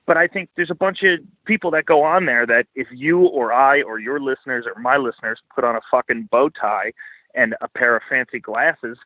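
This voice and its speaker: A man's voice: medium pitch, moderate pace, dry recording, narrow, tinny audio. A man speaking as a podcast guest, giving casual, opinionated financial commentary in blunt, informal language with swearing.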